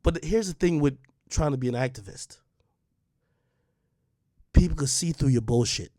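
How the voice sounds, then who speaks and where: A young man rants hard and confrontationally, hammering the same points over and over. His voice sits a touch high, and the sound is dry and close with no room around it. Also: animated, moderate pace, mellow, no echo. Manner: confrontational, heated, repeats points. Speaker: online media personality. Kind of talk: direct-to-camera rant.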